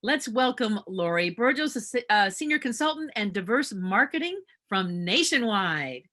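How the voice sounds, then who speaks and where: A woman's voice: mid-range voice, sing-song, normal speed, pushed and bright. A woman hosting an event and announcing the next session and its speaker. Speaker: event host introducing a session.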